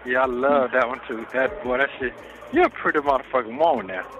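A man's voice: medium pitch, fairly quick, music in the background. A man chatting intimately as an inmate on a phone call, flirtatious, profane and given to repeating himself. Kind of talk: intimate casual phone chat. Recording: noisy, muffled recorded jail phone call.

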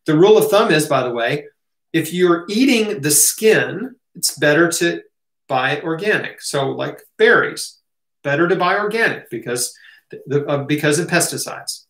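An American man gives a casual Q&A talk in a fairly high voice. He is conversational and reassuring, punctuating his points with short check-in questions. The sound is clearly roomy, as if recorded at a desk in a home office. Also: expressive, normal speed, fairly bright tone. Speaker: health educator, host. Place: small home office, indoors.

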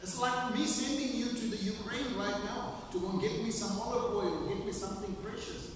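An older man preaching a sermon from a pulpit, with emphatic pauses that stretch out key phrases. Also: high-pitched, steady tone. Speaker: pastor. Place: small church hall with stage and pulpit. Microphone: room mic at a distance. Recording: echoey hall, distant mic, faint hiss.

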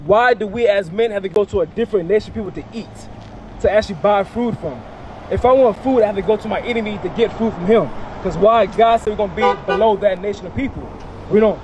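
A man's voice: high voice, fast talker, a little mellow, slight background noise, low-quality recording. A young man street preaching outdoors into a handheld mic, emphatic and questioning, often repeating words. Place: outdoors by a parking lot.

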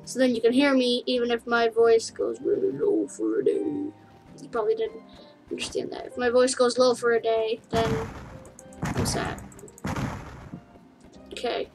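Woman, let's play commentary, slightly high voice, rambling, giggly, game music behind. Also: a little slow, pauses often, poor audio. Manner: chatty, rambling, with giggles and excited yelps. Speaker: gaming YouTuber.

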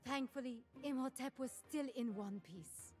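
A woman's voice: sits high, a little slow, over loud music. An actress voicing a devoted, earnest female scholar in a video game, speaking expressively and articulately. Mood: delighted, relieved. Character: warm, devoted female scholar keeping a refuge library. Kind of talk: video game character voice acting.

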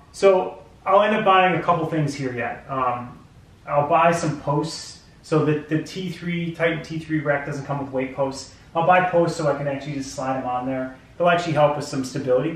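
A man in his thirties casually reviewing gym gear at home, his voice sitting at an ordinary middle pitch. He rambles and restarts his sentences, full of fillers. The sound bounces hard around a bare, very echoey room, picked up by a camera mic a few feet off. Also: normal speed, fairly bright tone. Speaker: product reviewer.

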